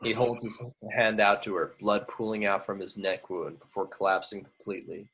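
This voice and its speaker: A man with a fairly low voice narrates stage directions. It is a scripted read, delivered as part of an amateur table read. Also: moderate pace, a bit bright, slightly rough, low-quality recording.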